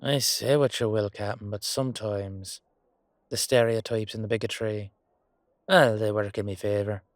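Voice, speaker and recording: A low, close and dry male voice from an actor as a fantasy knight with an Irish accent. He is gruff, fiercely protective and hot-headed, with a wry, loyal way of talking, made for a roleplay audio drama. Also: steady tone, normal speed, dry recording, natural voice. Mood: wry, cautious. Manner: gruff, swearing, colloquial.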